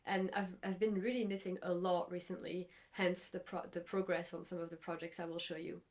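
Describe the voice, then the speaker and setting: A woman's voice: fairly low pitch, little pitch movement, brisk. A young woman from Belgium hosting a knitting podcast from her craft room at home, chatting casually and rambling with lots of fillers and restarts.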